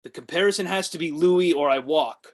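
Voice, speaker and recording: A high-voiced hothead played by a man, excitable and easily offended. He blusters like a would-be star in a comedy table read. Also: a little fast, lightly acted. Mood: excited, stubborn.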